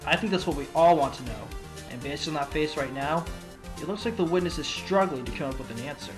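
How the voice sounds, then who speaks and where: A man's voice: on the high side, fairly quick, over music, lightly acted. A man voicing a cocky young fox defense lawyer, smug and pointed, in a fan audio drama.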